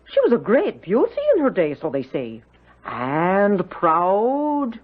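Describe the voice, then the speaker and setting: A woman's voice: medium pitch, huge pitch swings, slow pace, exaggerated voice. An actress playing a chatty, motherly Irish housekeeper in a 1970s radio drama, with folksy, lilting, gossipy talk. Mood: confiding, gossipy. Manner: folksy, voluble, lilting storytelling. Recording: dull lo-fi radio recording.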